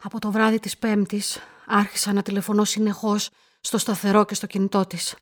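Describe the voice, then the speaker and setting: An actress playing a murder victim's shaken sister, giving grave, halting testimony in a radio drama. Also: female voice, medium pitch, little pitch movement, natural voice.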